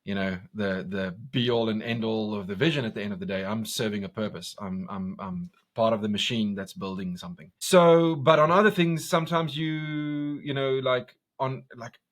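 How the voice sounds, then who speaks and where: A South African man with an Afrikaans accent, a guest in an interview, talking loudly and intensely with lots of restarts. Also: fairly low pitch, normal speed, hardly any pauses. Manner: loud, intense, passionate, many restarts. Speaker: guest, commercial director. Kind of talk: casual interview conversation.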